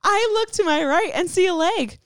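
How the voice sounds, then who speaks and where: A woman's voice: very high-pitched, moderate pace, dry recording, studio quality. Laughing young woman reading a listener story. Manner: laughs often, animated reading. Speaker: podcast co-host. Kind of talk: casual chat, reading a listener story aloud.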